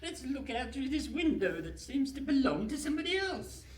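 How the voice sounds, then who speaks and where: A very high-pitched, dotty old wife, genteel and fluttery in a radio comedy. The voice swoops about and echoes in a very noisy hall. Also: female voice, very animated, moderate pace, darkish tone, recorded from a distance. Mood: curious, fussy. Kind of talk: comic live radio play acting.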